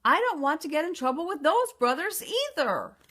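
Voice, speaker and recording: A woman's voice: high-pitched, sing-song, normal speed, bright, forceful tone, pitched above the performer's own voice, exaggerated voice. A woman voicing a big, blustering but easily worried male giant in a storybook, exclaiming and protesting. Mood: panicked protest.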